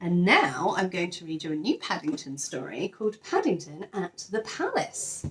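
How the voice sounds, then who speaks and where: A woman teacher sharing a children's picture book, expressive and enthusiastic, with chatty asides. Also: lowish voice, normal speed. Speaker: teacher reading to pupils. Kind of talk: children's storybook read-aloud with chatty asides.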